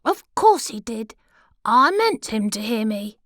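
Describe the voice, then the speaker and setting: Woman voicing a high, pompous little male butterfly. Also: sing-song, unhurried, fairly bright tone, dry recording, studio quality, full character voice. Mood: self-important. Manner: pompous, puffed-up. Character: boastful, self-important little male butterfly, henpecked husband.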